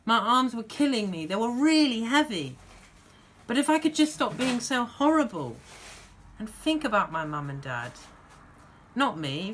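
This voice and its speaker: An acting student playing a frightened, guilt-ridden young child in a dramatic stage monologue, speaking earnestly and naively in halting short sentences. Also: mid-range voice, a bit bright.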